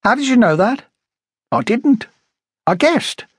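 A man voicing a blunt, prickly older working-class woman with a high, rasping voice, emphatic and chatty, as audiobook dialogue. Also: sing-song, slow pace, dry recording, clean studio sound, full character voice. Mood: forthright.

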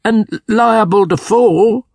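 A man's voice: sits high, slow pace, a little mellow, slightly rough, no echo, studio quality, voice pushed up, full character voice. A man voicing a startled, pleading elderly miser in an audiobook story. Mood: fearful pleading.

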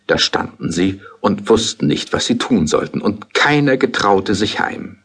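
A husky-voiced man narrating a fairy tale in a measured, storytelling manner. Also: lowish voice, expressive, a bit bright.

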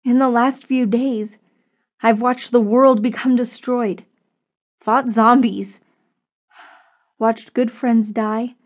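A woman's voice: on the high side, normal speed, a little mellow, lightly acted. A woman narrator voicing a strong, earnest, fiercely loyal woman in an audiobook story. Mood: exhausted, confused.

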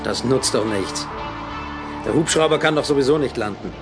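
A man's voice: medium pitch, normal speed, natural voice. An actor playing a sober, matter-of-fact male chief engineer on an oil rig in a German audio drama. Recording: loud music behind the voice.

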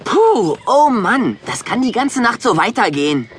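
A woman's voice: fairly high pitch, sing-song, unhurried, slight background noise. A woman voicing a cheerful, eager little boy dragon with an exclamatory delivery in a children's audio drama. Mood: weary, friendly. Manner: bright-eyed, exclamatory.

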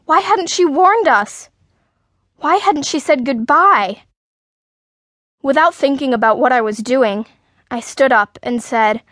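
High-voiced woman narrating a children's story. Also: a bit bright, dry recording, clean studio sound. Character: indignant outspoken sixth-grade girl telling her story. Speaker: audiobook narrator. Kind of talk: scripted first-person children's story narration.

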